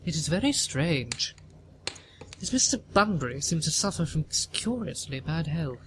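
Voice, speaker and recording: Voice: mid-range voice, big pitch swings, unhurried, noisy background. Man playing an imperious, pompous aristocratic aunt. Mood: disapproving. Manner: pompous, disapproving, formal. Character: imperious older aristocratic woman, haughty aunt. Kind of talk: audio play comedy of manners.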